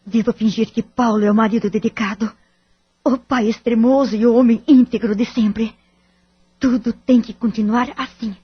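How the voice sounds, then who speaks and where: Actress as husky wronged wife and mother. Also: fairly high pitch, steady tone, moderate pace, no room sound, muffled recording. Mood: bitter, anguished. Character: betrayed wife and worried mother, bitter yet protective. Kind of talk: audio drama acting.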